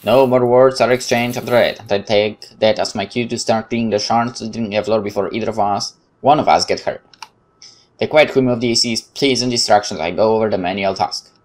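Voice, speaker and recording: A man hosting a let's play, reading narration aloud in a measured, dramatic way with casual commentary. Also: fairly low pitch, fairly even intonation.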